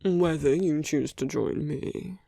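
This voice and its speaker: A man playing a soft-hearted villain wooing his heroic enemy in an intimate ASMR roleplay, speaking slowly and deliberately in a breathy hush that often slips into whispers. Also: on the high side, dry recording, clean studio sound, exaggerated voice. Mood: tender, hesitant. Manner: slow, deliberate, hushed, often slipping into whispers. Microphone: close, dry microphone.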